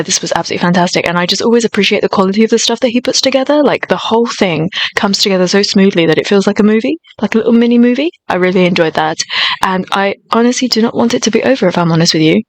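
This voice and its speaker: A woman with a high voice chatting casually and gushing enthusiastically while reacting to a music video.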